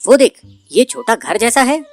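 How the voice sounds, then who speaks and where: A woman's voice: fairly high pitch, animated, measured pace, faint music behind, voice pushed up. A woman voicing a brave, cheerful little bird who teases and urges on a friend in a cartoon story. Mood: curious. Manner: teasing, urging.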